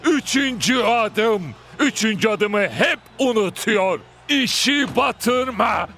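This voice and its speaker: Shrieking, gravelly cartoon villain. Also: male voice, high-pitched, expressive, takes it slowly, bright, forceful tone, slight background noise, exaggerated voice. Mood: exasperated. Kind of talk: Turkish cartoon dub.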